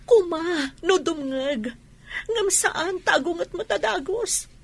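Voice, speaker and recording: A woman's voice: extremely high pitch, animated, slow, creaky, heavily acted. A woman acting in a radio drama as a hurt young woman defending herself to a friend. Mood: hurt, resigned.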